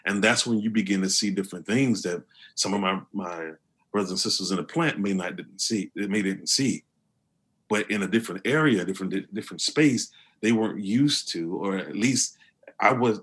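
A man with a deep voice, speaking earnestly as an interview guest, often restarting his sentences. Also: a little fast, a bit bright, slightly rough. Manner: earnest, with many restarts and fillers. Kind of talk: conversational interview.

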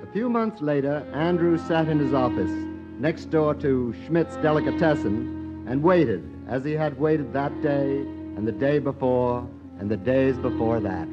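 A man serves as announcer and narrator for a 1940s-style radio play. His medium-pitched delivery is formal and measured. Music plays clearly behind him on a dull old broadcast recording.

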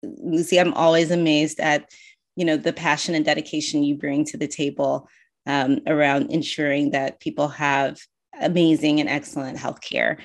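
A woman's voice: deep voice, level tone, normal speed. A woman hosting a podcast interview, enthusiastic and hesitant, with frequent fillers. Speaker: podcast host.

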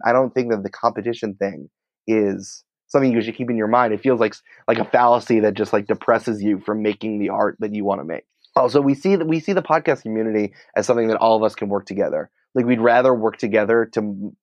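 A man's voice: medium pitch, dry recording. Man chatting informally, slightly muffled audio. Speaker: podcast producer and writer, interview guest. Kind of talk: casual interview conversation.

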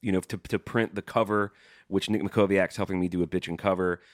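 Laid-back deep-voiced podcast man. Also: a little fast, dry recording, studio quality. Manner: relaxed and loose, swears casually. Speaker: podcast co-host. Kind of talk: casual podcast chat, reading listener emails.

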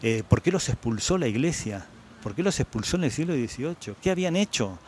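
A man in his sixties speaks with an Argentine accent, his voice sitting at an ordinary middle pitch. He talks reflectively, pausing on "eh" and circling back to repeat words for emphasis. A faint noise hangs behind the recording. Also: big pitch swings, a little mellow. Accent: Argentine Spanish. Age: 60s. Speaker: guest writer. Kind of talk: interview at a book fair.

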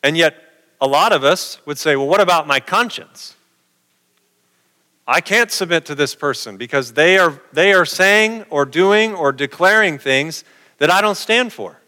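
A man's voice: fairly high pitch, animated, a little slow, pushed and bright, big-room sound. A middle-aged man preaching a sermon in a conversational way, posing questions to his listeners.

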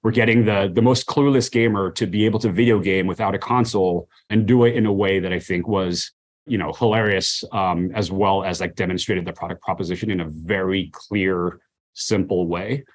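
A man's voice: low-pitched, fairly even intonation, normal speed. A man giving casual, conversational interview answers about his work, often saying "you know" and "like". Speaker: brand marketer, interview guest.

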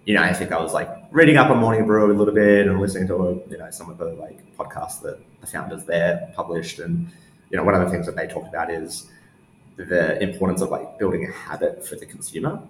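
A man's voice: low voice, brisk. A man giving casual interview answers in a hesitant, meandering way, with lots of "like". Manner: hesitant, meandering, heavy use of "like". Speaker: interview guest, newsletter founder. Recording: roomy echo with faint background noise.

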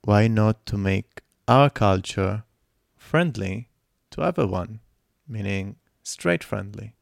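A man's voice: fairly low pitch, animated, takes it slowly, creaky, no room sound, studio quality. A man, hosting a podcast and telling his own story in an earnest, reflective way. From Israel. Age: 20s. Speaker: podcast host. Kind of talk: personal podcast monologue.

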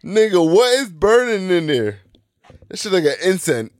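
A man's voice: high voice, big pitch swings, moderate pace. A man in his late twenties joking around in a casual chat, swearing and laughing a lot. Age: 20s.

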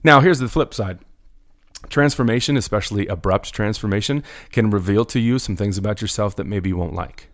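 A middle-aged pastor with a fairly low voice, talking earnestly in casual conversation. He leans toward preaching and keeps checking in with "right?" to his listener. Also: brisk. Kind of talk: conversational podcast talk.

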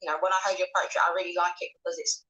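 A woman's voice: lowish voice, level tone, racing, sharp tone, highs cut off. A woman hosting a casual interview and reading out viewer comments, rambling a little with many filler words. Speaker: host interviewing a guest.

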